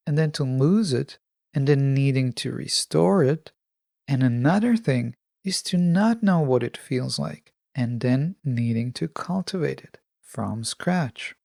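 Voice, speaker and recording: A woman hosting a solo self-help podcast, speaking in a calm, measured and instructive way with pauses. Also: sits low, gravelly, dry recording, clean studio sound. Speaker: podcast host.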